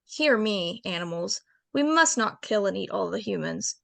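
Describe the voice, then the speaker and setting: A woman voices a heartfelt female bear addressing a council of animals in a homemade radio play. Her earnest, pleading voice rides a lively, wide-ranging pitch and drops into gravelly low notes. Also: slow pace, full character voice. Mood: impassioned. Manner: earnest, pleading speech-making. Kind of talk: amateur radio drama table read.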